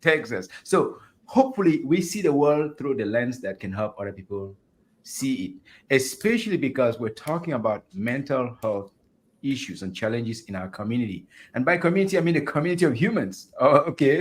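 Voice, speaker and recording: Male host from Africa, chatty and upbeat, mid-pitched, on a video call. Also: big pitch swings, fairly quick. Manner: enthusiastic, chatty, laughs easily. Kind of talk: virtual fireside chat hosting.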